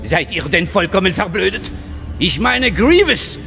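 High, creaky, panicky alien viceroy. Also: male voice, big pitch swings, a little slow, pushed and bright, soft background music, full character voice. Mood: anxious, imperious. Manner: agitated, haughty, exclaiming. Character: panicky, imperious male alien viceroy, cowardly and irritable. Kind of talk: audio drama acting.

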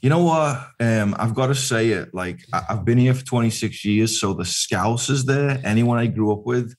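A man in his forties with a blended Liverpool and American accent, speaking over a video call with a desk mic. He talks in an animated, chatty way, waving his hands as he tells stories. His pitch sits in the middle range. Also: normal speed. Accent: mixed Liverpool and American. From Liverpool, England. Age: 40s. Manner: animated, gesturing with both hands. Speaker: podcast guest. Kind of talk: casual chat. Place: home kitchen, indoors. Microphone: video call with studio mic in frame.

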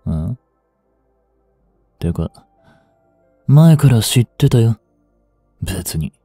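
An actor playing a rough, cocky mafia boss with hidden tenderness in an intimate audio drama, gruff and teasing in a drawl. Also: male voice, deep voice, mellow, dry recording, full character voice. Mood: quiet, sorrowful. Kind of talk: intimate Japanese-style dramatic voice acting aimed at women listeners. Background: music.

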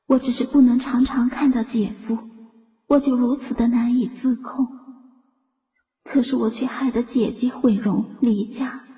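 Audio drama: remorseful young woman actress performing, high voice, sorrowful confession, slightly dull sound. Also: lightly acted. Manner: slow, sorrowful confessional delivery. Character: heartbroken guilt-ridden young woman reading her farewell letter. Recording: muffled sound with long reverb.

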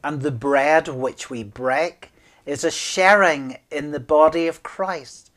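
A man in his fifties, a minister speaking communion liturgy and prayers in a measured, solemn way with deliberate pauses. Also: fairly high pitch, fairly bright tone. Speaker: minister leading worship. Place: small room indoors, at a desk.

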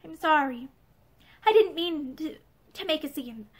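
A woman reading aloud, voicing a tearful young woman who pleads in a halting voice broken by sobs. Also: very high-pitched, lively intonation, fairly bright tone, voice pushed up. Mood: tearful pleading.

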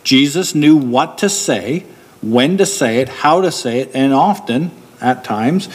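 A middle-aged man preaching a sermon from a church pulpit. He explains steadily and slows down to stress key points. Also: a little high. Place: church hall with a wooden pulpit.

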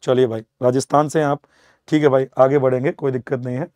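A man's voice: medium pitch, little pitch movement, fast talker, darkish tone, dry recording. A man in his thirties teaching exam students in a conversational lecture style, repeating points and checking in often, close-miked in an indoor studio. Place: indoor teaching studio beside a digital board.

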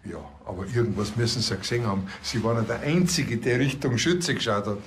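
A man's voice: medium pitch, fast, close to an everyday voice. Blunt Bavarian policeman, played by a man, some noise. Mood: businesslike.

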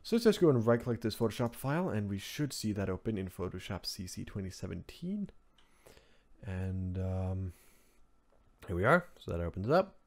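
Low-voiced Norwegian man, casual tutorial host. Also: big pitch swings, normal speed. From Norway. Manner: rambling, offhand, informal asides. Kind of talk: casual screen-recorded software tutorial. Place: indoors at a computer, screen recording voice-over.

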